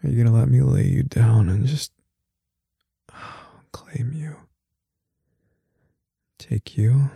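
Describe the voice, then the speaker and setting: A man murmurs in a heavy, gravelly fry that keeps sinking into breathy whispers. His tone is deep-set and dark, the delivery slow and domineering, and the close microphone picks up a dry, intimate sound with no room around it. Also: dry recording. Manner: slow, low and murmuring, often dropping to a whisper; domineering and taunting. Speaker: audio roleplay performer. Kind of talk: intimate whispered audio roleplay.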